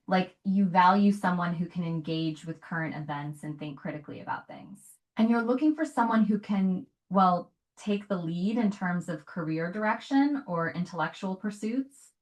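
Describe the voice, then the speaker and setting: Calm measured woman giving advice. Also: lowish voice. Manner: calm, measured, paraphrases and asks follow-up questions. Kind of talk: conversational advice.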